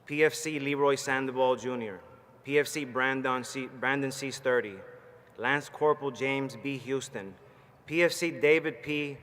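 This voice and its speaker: A man's voice: mid-range voice, moderate pace, a bit bright. A man, a Marine veteran giving a rousing commencement speech from a stage. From Puerto Rico. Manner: fired-up, rousing, plainspoken and personal. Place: large ceremony hall, from a stage. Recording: long hall reverb, faint background noise.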